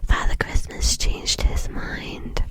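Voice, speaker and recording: A woman's voice: slow pace, natural voice. A woman voicing a spoilt, cheeky little girl in a whispered bedtime storybook reading. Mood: pleading, cheeky.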